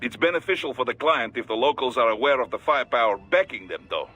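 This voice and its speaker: A male actor playing a self-assured private military contractor in a video game, explaining things matter-of-factly in a husky, forceful voice. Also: medium pitch, animated, fast talker, soft background music.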